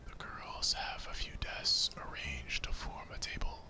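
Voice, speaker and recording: A man reading visual novel narration in a hushed, deliberate ASMR whisper.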